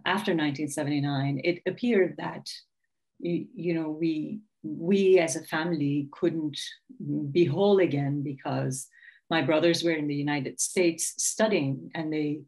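Low-pitched woman guest on a zoom call, lots of ums, thoughtful, from iran. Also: darkish tone, poor audio. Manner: thoughtful, many fillers and restarts. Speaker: author guest. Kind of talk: video call interview. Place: home room with bookshelf. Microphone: video call.

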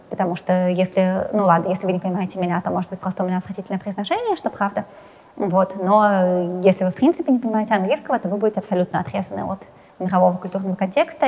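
Fast-talking woman in a reverberant studio. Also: mid-range voice, a little mellow. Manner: hesitant fillers, fast and talkative. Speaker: interviewee, science journalist. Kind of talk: interview answers to camera. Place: indoor studio with plain wall, seated in armchair.

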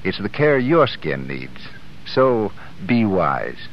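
A male announcer speaks on a dull old 1930s radio recording with faint music. His voice is fairly low and very animated, with a formal, polished salesman's delivery. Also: slow, a little hiss or hum.

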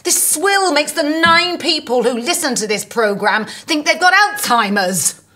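A woman's voice: high voice, expressive, unhurried, bright, forceful tone, heavily acted. A woman playing a fiery middle-aged soap actress, cutting, sarcastic and sweary. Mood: scornful menace. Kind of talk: naturalistic screen comedy-drama acting.

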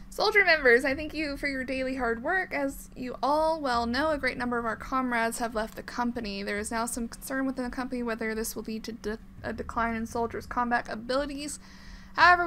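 Bright, high-voiced woman gamer. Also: slight background noise. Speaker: let's play streamer. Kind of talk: casual gameplay commentary, reading on-screen text aloud. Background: game music.

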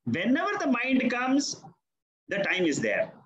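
Older male teacher, patient explainer, animated delivery, roomy call audio. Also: extremely high pitch, a little slow, muffled recording, slight background noise. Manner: patient, explanatory, affirming the student often. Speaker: Vedanta teacher. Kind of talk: answering questions in an online class. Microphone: video call.

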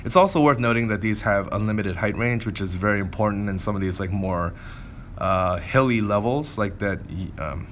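A man with a fairly low voice talks through gameplay casually. He thinks aloud and explains things as he goes, over clearly audible background noise. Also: fairly bright tone. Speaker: gameplay commentator. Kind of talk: casual game commentary.

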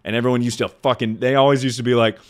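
A young man streaming from his bedroom into a boom-arm studio mic, chatting casually and rambling with lots of fillers in a deep voice. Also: little pitch movement, racing. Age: young adult. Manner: rambling, self-deprecating, filler-heavy. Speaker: livestreamer. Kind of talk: casual Q&A livestream chat.

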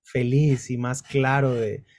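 Mellow-voiced singer on a video call. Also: male voice, mid-range voice, little pitch movement, slow pace. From Culiacán, Sinaloa, Mexico. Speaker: singer, interview guest. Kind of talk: relaxed interview answers. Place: dim indoor room with lamp light.

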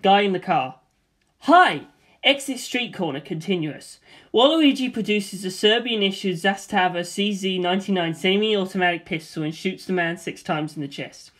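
A voice reading a screenplay aloud in a flat, deadpan way, stage directions and dialogue alike. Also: medium pitch, normal speed. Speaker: script reader.

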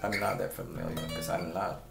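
A man's voice: sits low, fairly even intonation, moderate pace, gravelly, echoey room. A middle-aged man whose first language is Chinese, talking casually to his webcam at home as a guitar teacher. He rambles, repeats words and asks the viewer pointed questions. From China. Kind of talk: casual talk to camera. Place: small room at home. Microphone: webcam at close range.

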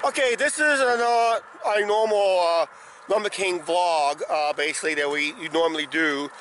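Middle-aged man, slightly hoarse, rambling vlog chat, handheld camera, noisy outdoor setting. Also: high-pitched, normal speed, a bit bright. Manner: rambling, repeats "uh, basically". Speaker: vlog host. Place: outdoors, roadside and ballpark. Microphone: handheld camera at arm's length. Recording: clear outdoor traffic and ambient noise.

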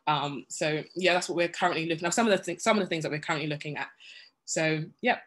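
A woman's voice: low voice, fast talker, fairly bright tone. A woman from Guyana speaking as a panelist on a video call, talking earnestly with many restarts and fillers.

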